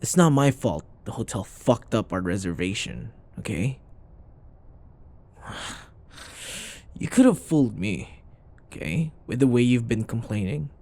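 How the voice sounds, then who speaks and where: Man acting a snarky, animated office rival. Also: medium pitch, a little slow, long pauses. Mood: exasperated. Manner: sarcastic, taunting, sighs and scoffs. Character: snarky, irritable male office rival, teasing and combative. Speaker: audio roleplay performer. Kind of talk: scripted ASMR boyfriend roleplay acting.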